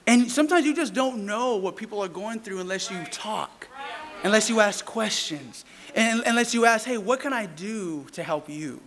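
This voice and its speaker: A man in his thirties preaches from a pulpit mic with an animated, playful delivery, calling out to the congregation. His voice sits very high and rings bright and forceful. The sound carries a long church-hall reverb with a faint congregation murmuring behind him. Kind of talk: sermon. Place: church sanctuary, indoors. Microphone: stand mic at a pulpit.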